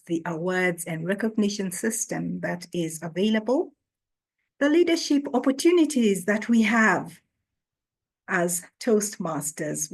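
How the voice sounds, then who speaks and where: A woman hosting an online orientation, speaking slowly and deliberately as she welcomes new members. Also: lowish voice. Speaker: orientation host. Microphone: video call.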